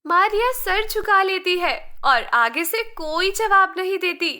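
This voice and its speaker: A woman's voice: extremely high pitch, animated, a little slow, sharp tone. A woman narrating a scripted moral story for children as a storyteller.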